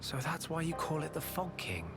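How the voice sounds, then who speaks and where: A man's voice: a little high, very animated, normal speed, over music. A man voicing an earnest, determined young hero in a video game. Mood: curious.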